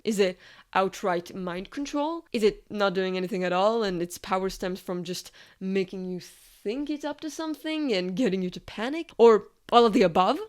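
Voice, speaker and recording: A woman's voice: medium pitch, clean tone. Woman doing scripted video essay narration, analytical, normal midrange voice.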